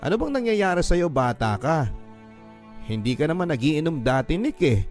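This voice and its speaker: Man voicing older street vendor, fatherly, scolding but caring, raised high voice, radio drama. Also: sing-song, normal speed, a little mellow, soft background music. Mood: concerned.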